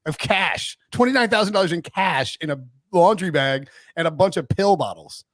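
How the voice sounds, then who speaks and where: A man hosting a podcast, chatting casually and jokingly about sports, his voice slightly raspy. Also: sits high, lively intonation, a bit bright, dry recording. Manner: fast, joking, reads quotes aloud. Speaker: podcast host.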